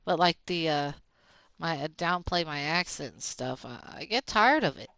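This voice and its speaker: A streamer from Oklahoma with a Southern accent, rambling in fast, casual talk with plenty of fillers while playing a game. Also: medium pitch, lively intonation, bright, forceful tone, dry recording. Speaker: gaming streamer.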